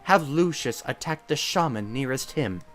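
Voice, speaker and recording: A man's voice: medium pitch, expressive, normal speed, fairly bright tone, soft background music. A man hosting a casual fan dub, laughing often and reading game tutorial text. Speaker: host and narrator of a fan dub.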